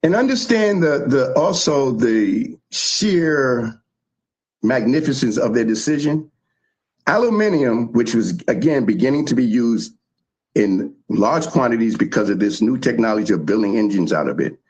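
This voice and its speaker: A man from the South Bronx, telling history in animated, emphatic casual chat as a show host. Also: medium pitch, moderate pace, rough recording. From South Bronx, New York.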